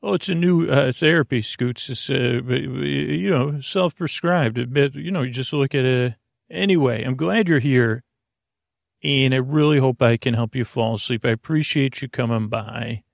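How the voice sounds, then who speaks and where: A man hosting a bedtime podcast with a meandering, halting, goofy and friendly monologue in a gravelly voice. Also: medium pitch, no room sound, studio quality. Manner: meandering, halting, trails off mid-word. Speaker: podcast host. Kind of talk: rambling, unscripted bedtime monologue.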